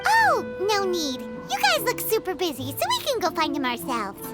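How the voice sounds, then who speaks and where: A woman voicing a tiny, excitable, childlike floating fairy companion in a video game, blunt and speaking of herself in the third person. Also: very high voice, moderate pace, loud music behind the voice, exaggerated voice. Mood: chirpy, surprised. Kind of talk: animated video game character acting.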